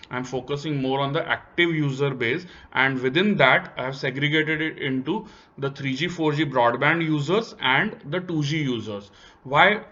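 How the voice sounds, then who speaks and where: A man's voice: mid-range voice, a bit bright. A man with an Indian accent presenting company analysis over slides, explaining step by step and stressing key points. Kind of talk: semi-scripted slide commentary. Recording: dull, lo-fi voice recording.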